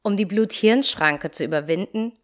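A young woman hosting her own podcast as a yoga teacher and coach, talking in a calm, friendly way straight to the listener, her nose still a little stuffy from a cold. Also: medium pitch, normal speed. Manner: calm, friendly direct address. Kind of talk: solo podcast talk.

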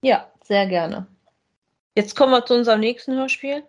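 A woman's voice: fairly high pitch, moderate pace. A woman chatting casually as co-host of a podcast that reviews audio dramas.

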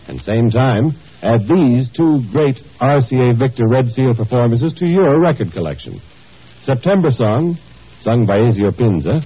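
A man's voice: medium pitch, breathy edge, dull audio. A man reading a scripted commercial as a 1950s radio announcer on an old broadcast.

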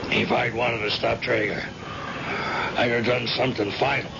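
An actor playing a bitter, gruff retired gangster confined to an iron lung in an old-time radio drama, talking in defensive tough-guy slang. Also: mid-range voice, level tone, normal speed, close to an everyday voice. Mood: defensive, insistent. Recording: muffled, very noisy vintage radio recording.

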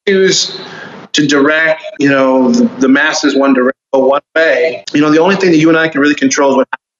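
A man's voice: fairly high pitch, fairly quick, muffled recording, a little hiss or hum. A man in his forties, a guest on a casual podcast, talking candidly and in a laid-back way. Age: 40s.

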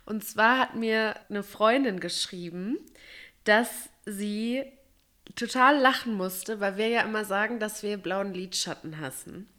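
A woman's voice: medium pitch, a little slow, fairly bright tone. A woman chatting casually and emphatically as a podcast co-host, a little stuffy from a cold.

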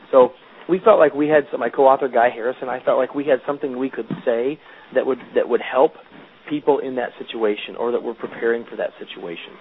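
A man's voice: medium pitch, creaky. A man interviewed as a guest author, explaining earnestly and often restarting his sentences. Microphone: phone. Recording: tinny phone line with faint hiss.

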